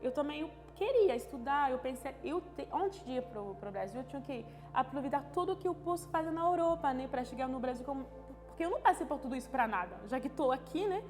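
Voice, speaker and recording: High-voiced woman. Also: animated, a little mellow. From France. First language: French. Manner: halting, searching for words, frequent restarts and tag questions. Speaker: interviewee. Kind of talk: personal testimony interview. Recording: long reverb with music underneath.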